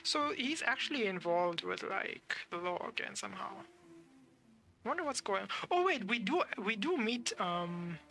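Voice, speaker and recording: Bright-voiced, creaky male game streamer. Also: sits high, very animated, a little slow, soft background music. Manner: musing aloud, hesitant. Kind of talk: casual live game commentary.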